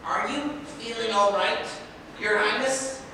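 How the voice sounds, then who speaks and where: A man's voice: extremely high pitch, takes it slowly, pushed and bright, a little hiss or hum, exaggerated voice. Man as two-faced royal servant, manipulative, theatrical, insinuating, stage drama, very echoey, far from mic. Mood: deferential, sly.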